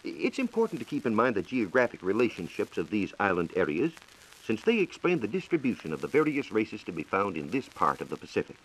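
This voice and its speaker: Casting: male science reporter, American, lowish and lively-pitched, scripted formal vintage radio delivery. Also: fast, a little hiss or hum, rough recording. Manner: formal, explanatory. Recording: 1940s radio recording.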